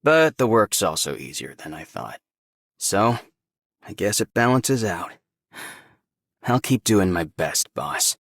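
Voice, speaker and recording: A modest young working man from a video game. He talks plainly and easily in a deep voice, with no room sound at all. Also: big pitch swings, slow pace, long pauses, a bit bright, no room sound, exaggerated voice. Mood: easygoing. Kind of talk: video game character voice line.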